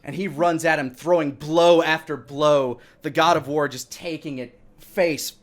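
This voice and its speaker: A man's voice: fairly high pitch, fairly even intonation, measured pace. Theatrical man narrating as dungeon master. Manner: theatrical, with acted sound effects. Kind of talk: dramatic tabletop game narration.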